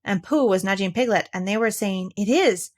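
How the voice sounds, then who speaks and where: A woman's voice: mid-range voice, a little fast. A woman reads a children's storybook aloud in a mid-range voice. It is scripted narration, delivered as a story read to listeners. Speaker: storybook reader.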